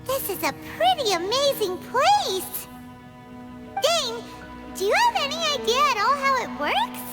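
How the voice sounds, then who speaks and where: A tiny, curious fairy sidekick from a video game. Her voice is extremely high, squeaky and childlike, jumping around with excitable exclamations. She takes her time over the words, and loud music plays behind her. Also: a little slow, exaggerated voice. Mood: excited, startled. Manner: excitable, exclamatory, refers to self in third person. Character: small childlike female floating fairy companion, excitable and curious.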